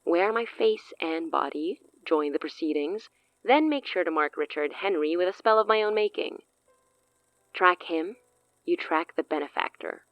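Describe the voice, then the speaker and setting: An actress playing a cunning, self-assured sorceress in an audio drama, speaking in a theatrical, silky, condescending way. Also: female voice, fairly low pitch, lively intonation, moderate pace, no room sound, lo-fi sound, close to an everyday voice. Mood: poised, persuasive. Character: cunning, self-assured woman sorceress offering a dangerous pact.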